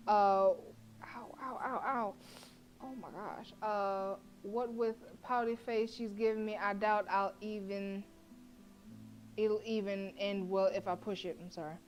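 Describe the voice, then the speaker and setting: A woman's voice: mid-range voice, a little slow, soft background music. A young woman streaming a horror game, reading the narration aloud expressively and chatting with viewers. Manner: expressive, laughs often, chats to viewers between read lines.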